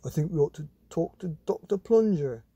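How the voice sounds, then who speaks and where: A man's voice: a little high, expressive, normal speed, warm tone, husky, dry recording, voice pushed up. A man voicing an earnest, worried father in a storybook.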